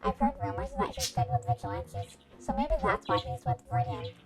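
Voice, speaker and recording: A woman's voice: fairly high pitch, huge pitch swings, fairly quick. A woman giving an amateur story reading, animatedly acting out messages as she goes, with music behind her. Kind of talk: amateur fan fiction reading.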